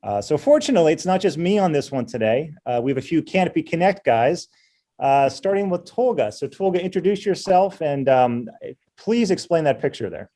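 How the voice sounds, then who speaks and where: A man's voice: fairly high pitch, animated, brisk, dark tone. A middle-aged man hosting a casual business webinar over a video call, chatty and easygoing with many fillers. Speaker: webinar host.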